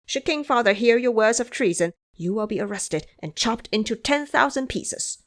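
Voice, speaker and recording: A woman's voice: on the high side, animated, normal speed. A woman voicing a proud, suspicious young crown prince in an audio drama, with a commanding manner. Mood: threatening. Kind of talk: audio drama character acting.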